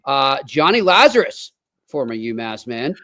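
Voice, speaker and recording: A man's voice: medium pitch, big pitch swings, a little slow, noisy background. A man hosting a casual sports chat and putting questions to his co-host.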